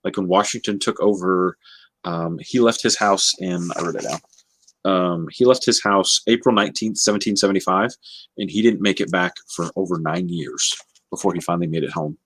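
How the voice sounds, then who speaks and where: A man talking casually in a book discussion, often halting and searching for the right words. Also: low-pitched, a bit bright, slightly rough, no echo.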